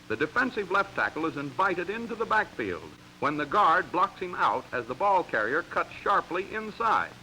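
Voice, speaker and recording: A man's voice: on the high side, bright, forceful tone, a little hiss or hum, poor audio. An American man reading scripted narration for a 1940s sports training film in an emphatic, instructional way, on a vintage soundtrack. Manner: crisp, emphatic, instructional delivery.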